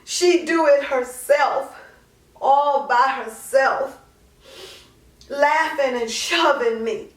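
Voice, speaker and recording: Anguished, pleading mother, high voice. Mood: anguished confession. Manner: impassioned, drawn-out, pleading delivery. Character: anguished mother pleading to God, guilt-ridden, bluesy, grieving. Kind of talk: dramatic monologue reading. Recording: roomy echo, distant microphone.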